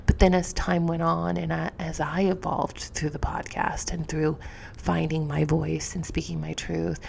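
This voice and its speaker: A woman hosts a solo podcast in a low, very gravelly voice that often thins toward a near-whisper. She sounds slightly sniffly and speaks candidly and reflectively, straight to the listener. There is faint rumbling noise under her close-miked voice. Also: a little mellow. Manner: confessional, candid, informal with some swearing. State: sniffly from allergies. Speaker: podcast host. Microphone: close podcast microphone.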